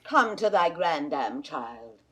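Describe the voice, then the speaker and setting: A woman's voice: on the low side, big pitch swings, slow, lo-fi sound. Haughty old queen, slightly distant. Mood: coaxing. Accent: British English. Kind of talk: mid-century classical Shakespearean stage acting.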